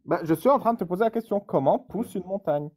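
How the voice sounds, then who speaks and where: A man's voice: sits high, expressive, quick pace, a little mellow. A man in a live online debate, pointedly and insistently questioning a guest.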